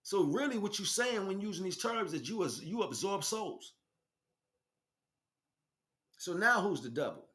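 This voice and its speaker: A man's voice: sits high, very animated, unhurried, pauses often, bright, forceful tone. An American man delivering an informal spiritual monologue as a teacher, speaking emphatically in streetwise slang and addressing listeners directly.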